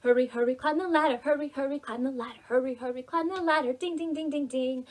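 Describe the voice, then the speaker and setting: A woman hosting preschool storytime as a children's librarian, reading aloud and leading action rhymes in an animated, singsong, exaggerated way for small children. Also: high-pitched, fast talker.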